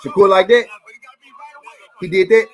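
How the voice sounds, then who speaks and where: A man's voice: sits high, steady tone, a little slow. A man hosting a live boxing talk show, arguing his point with rhetorical questions, repeating himself and greeting viewers from the chat.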